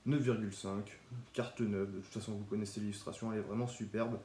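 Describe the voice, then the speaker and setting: Young man with a cold, mellow low voice, chatty card vlog in an echoey room. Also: fairly quick, slight background noise. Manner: relaxed, chatty, with small restarts. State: has a cold, stuffy. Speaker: Pokémon card collector YouTuber. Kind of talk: casual card showcase vlog.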